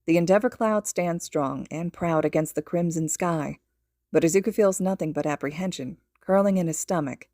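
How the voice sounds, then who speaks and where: A woman's voice: on the low side, moderate pace, mellow, dry recording, clean studio sound. A woman reading scripted fiction aloud as a podfic narrator. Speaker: podfic reader and host.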